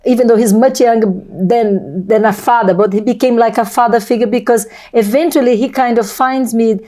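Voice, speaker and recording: A woman's voice: a little high, a little fast, dark tone. A middle-aged Brazilian woman with a Portuguese-accented way of speaking English, hosting a casual interview in an effusive, wordy manner. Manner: effusive, wordy, repeats phrases. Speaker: host of a video channel.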